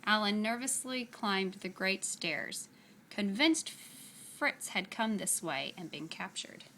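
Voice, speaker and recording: A woman reading a children's story aloud with expressive, deliberate, dramatic phrasing. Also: medium pitch, a little hiss or hum.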